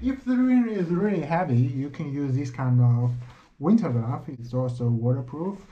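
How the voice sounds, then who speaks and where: A young man from China with a Chinese accent, speaking English as a second language in a casual motorcycle gear vlog with plain, practical phrasing and frequent pauses. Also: fairly high pitch, animated, husky. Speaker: motorcycle vlogger. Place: outdoors riding a motorbike in the rain, then a small room indoors. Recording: dull lo-fi audio with traffic noise.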